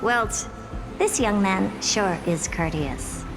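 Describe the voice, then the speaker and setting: Game role: imperious genius woman, high and very animated, unhurried, music underneath. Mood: wry, irritated. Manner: imperious, theatrical, self-important. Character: Madam Herta, haughty, impatient genius woman scholar. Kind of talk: video game voice acting.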